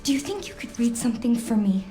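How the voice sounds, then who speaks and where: A woman playing a nervous, gentle young policewoman in a horror game, halting and stammering as she talks. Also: fairly high pitch, very animated, soft background music.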